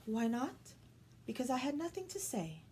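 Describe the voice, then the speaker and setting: A young storybook princess, played by a woman reader, quiet and calmly determined. Her voice is soft, hushed and lifted high. There is faint noise in the recording. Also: normal speed. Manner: soft, hushed, higher than the narration.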